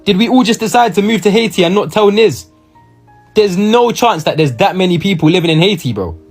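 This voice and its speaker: Slangy lad, husky and lively. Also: male voice, high voice, brisk, soft background music. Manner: chatty, incredulous, slangy. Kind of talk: casual chat to camera.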